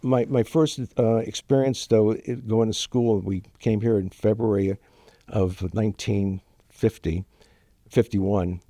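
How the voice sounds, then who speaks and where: An older man, born in Germany to Ukrainian parents with Ukrainian as his first language, telling his family story in a halting, emotional way with many fillers and restarts. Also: fairly low pitch, steady tone, warm tone, dry recording. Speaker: guest. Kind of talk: interview, personal story.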